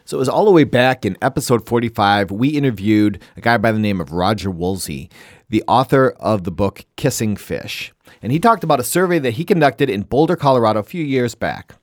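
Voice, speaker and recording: A man co-hosting a studio podcast, talking casually with a jokey edge. His pitch swings widely as he talks. The sound is dry and close with no room echo. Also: fairly quick. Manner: jokey, animated, laughs easily. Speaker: podcast co-host.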